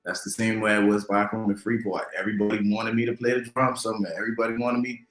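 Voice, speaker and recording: A man from the Bahamas, a guest talking unhurriedly about his music, with many ums and pauses. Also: on the low side, little pitch movement, fairly bright tone. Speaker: DJ and drummer, interview guest. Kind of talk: relaxed conversational interview. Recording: echoey room.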